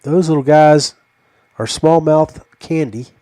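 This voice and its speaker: An older man hosting a fishing podcast, talking casually in a halting, rambling way. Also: on the high side, mellow, no room sound.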